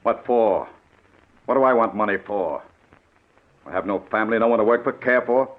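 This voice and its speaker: An actor in an old radio suspense play playing a bitter, vengeful ex-convict, speaking with sardonic, deliberate menace. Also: male voice, lowish voice, natural voice. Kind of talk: 1940s radio suspense acting. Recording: muffled old radio recording.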